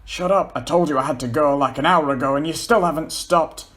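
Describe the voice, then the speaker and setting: A man voicing a distressed, tearful young man in a story reading, stammering and pleading, quick to swear and sulk. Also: on the high side, fairly bright tone, pitched above the performer's own voice, close to an everyday voice. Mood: desperate, tearful. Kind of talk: amateur dramatic reading of a story.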